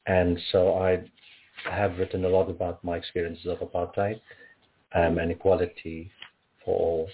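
A man from Africa, reading his poems aloud in a measured, rhythmic way. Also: low voice, little pitch movement, a little hiss or hum. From South Africa. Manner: measured, rhythmic recitation with pauses. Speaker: poet. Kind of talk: poetry reading. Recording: tinny phone-like audio.

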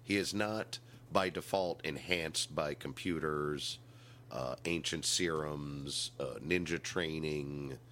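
A man's voice: rumbling low, takes it slowly, a bit bright. A middle-aged man hosting a podcast in casual comedic chat, with wry mock-announcer asides, on a noisy recording. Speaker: podcast host.

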